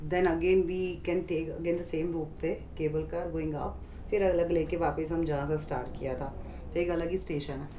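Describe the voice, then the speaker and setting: A woman's voice: low voice, steady tone, measured pace, noisy background. A woman in her thirties with an Indian accent, talking casually and chattily as a travel vlogger, mixing two languages and exclaiming as she goes. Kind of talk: casual travel vlog talk mixing Hindi and English. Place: on location at cafe terraces, streets and winery halls. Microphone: handheld camera at arm's length.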